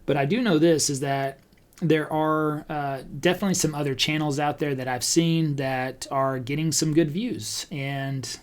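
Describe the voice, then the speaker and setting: A man talking casually as a podcast host, conversational and thinking out loud as he goes. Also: a little high, fairly even intonation, moderate pace. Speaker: podcast host and real estate agent. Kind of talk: casual talk about online video marketing.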